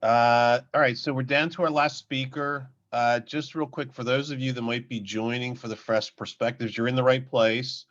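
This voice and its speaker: A man's voice: mid-range voice, little pitch movement, moderate pace, few pauses, a bit bright. A man moderating a virtual panel, informal and brief with fillers. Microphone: video call. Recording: dull lo-fi video call audio.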